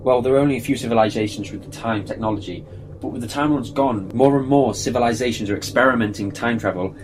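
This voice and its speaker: A man's voice: mid-range voice, fast talker, background noise, lightly acted. A man playing a clever, self-assured time traveller in a fan audio drama, explaining things confidently. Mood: urgent explaining. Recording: music bed behind voice.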